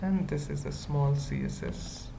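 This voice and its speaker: A tutorial presenter thinking aloud in halting, murmured fragments during an informal coding screencast. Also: mid-range voice, steady tone, gravelly, background noise. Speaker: web development tutorial presenter.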